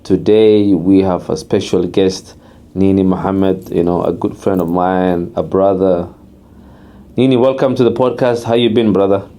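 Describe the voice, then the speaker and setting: A man's voice: sits low, steady tone, unhurried, dark tone. A man hosting a podcast, asking his guest questions in a friendly, loose way with lots of fillers. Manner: friendly, loose, says you know a lot. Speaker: podcast host. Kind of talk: casual podcast interview.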